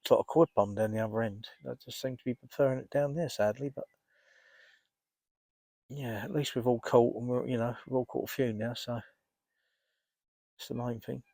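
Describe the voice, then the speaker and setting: A man with a cold, rambling casually to camera while fishing outdoors by a lake, often clearing his throat. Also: mid-range voice, fairly even intonation, normal speed, long pauses, a little mellow, dry recording. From England. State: congested, blocked up with a cold. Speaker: carp fishing vlogger.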